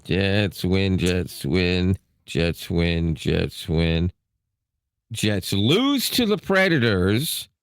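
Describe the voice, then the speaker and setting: A man co-hosting a casual podcast, animated and teasing, talking sports and tech. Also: very slow, no echo, clean studio sound. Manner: animated, teasing, jumps up in pitch when ribbing. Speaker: podcast co-host. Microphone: studio microphone.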